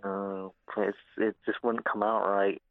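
A man's voice: fairly low pitch, flat delivery, slow, no room sound. A man chatting on the radio, asking curious, hesitant questions and laughing often. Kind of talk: casual radio chat. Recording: tinny phone line.

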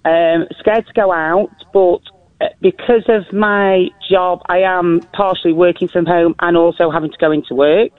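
A woman with a deep, crackly voice calls in to a radio show. She talks in a hesitant, nervous way and keeps apologising. The call comes through a thin, tinny phone line with no room sound. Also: steady tone, normal speed, dry recording. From northern England. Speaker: caller.

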